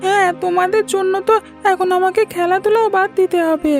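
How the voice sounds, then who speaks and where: Cartoon schoolboy: extremely high voice, petulant, pleading, music underneath. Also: female voice, steady tone, a little fast. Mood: sulky, stubborn. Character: whiny, stubborn little schoolboy. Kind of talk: Bengali cartoon story acting.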